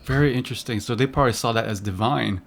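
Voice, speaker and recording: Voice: mid-range voice, fast talker, vocal fry. An interviewer asking curious questions in a casual chat and reacting to the answers.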